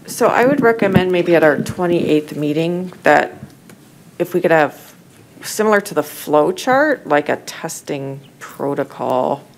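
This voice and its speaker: A woman's voice: sits low, lively intonation, measured pace, a little hiss or hum, poor audio. A woman on a school board proposing next steps, listing items one by one. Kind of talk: public meeting discussion.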